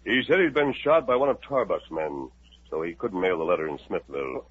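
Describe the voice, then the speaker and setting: Commanding masked Western hero, measured and firm; old tinny radio play. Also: male voice, deep voice, lively intonation, a little hiss or hum. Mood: grave. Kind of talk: 1950s radio drama acting.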